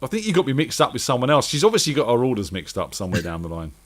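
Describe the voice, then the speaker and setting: A man's voice: mid-range voice, huge pitch swings, rapid-fire. A man hosting a radio show with casual, joking banter among friends. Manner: jokey, bantering. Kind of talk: casual chat.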